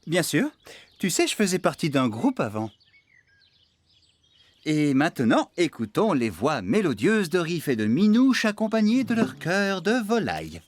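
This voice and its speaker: A man's voice: fairly high pitch, huge pitch swings, unhurried, no echo. An actor playing a jovial farmhand with a showman's streak in a French children's cartoon, friendly and theatrical. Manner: theatrical announcing, swinging to friendly chat. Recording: music behind the voice.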